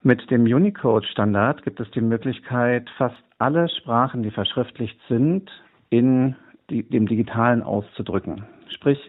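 A male professor giving an explanatory interview answer, slightly halting. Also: mid-range voice. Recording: phone-like line.

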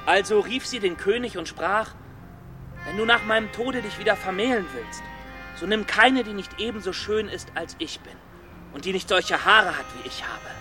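An excitable fairy-tale collector in a children's radio play. His voice sits high, with a flustered, halting and coaxing manner. Music plays clearly behind him. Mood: storytelling. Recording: music under the voice.

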